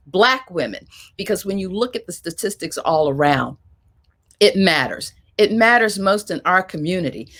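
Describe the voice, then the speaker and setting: A woman's voice: medium pitch, a bit bright. A woman hosting a virtual panel discussion, introducing people and topics with emphatic, complimentary delivery. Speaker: panel host and moderator.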